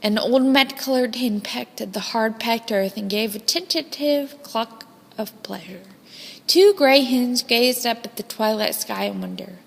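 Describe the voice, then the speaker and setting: High-voiced woman reading a storybook, reverberant. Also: vocal fry. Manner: expressive, deliberate phrasing. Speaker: storybook reader. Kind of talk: scripted children's story narration. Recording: long hall-like reverb.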